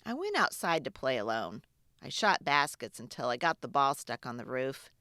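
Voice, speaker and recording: A woman reading a children's story aloud in an expressive, dramatic storytelling manner. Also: very deep voice, normal speed, no room sound, clean studio sound. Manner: expressive, dramatic, with hushed asides. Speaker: children's book reader and channel host. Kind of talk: animated read-aloud storytelling for kids.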